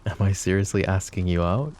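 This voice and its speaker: A man's voice: low voice, fairly quick, darkish tone, close to an everyday voice. A man voicing a suave, possessive mafia boss who flirts with the listener in a polished, courteous way that carries an undertone of menace. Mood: silky flirtatious. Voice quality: smooth, courteous, teasing menace. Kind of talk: roleplay audio drama, addressing the listener.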